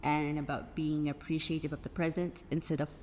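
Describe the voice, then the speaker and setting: A middle-aged woman, reflective and measured as she speaks in a casual cast interview. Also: low-pitched, little pitch movement, darkish tone. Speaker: stage play cast member. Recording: reverberant hall, faint background noise.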